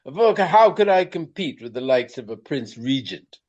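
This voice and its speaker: An actor playing a vain, elderly Regency stage actor with a pompous, florid, courtly manner in a radio play. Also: male voice, mid-range voice, sing-song, normal speed, darkish tone, lo-fi sound. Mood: wistful, gallant.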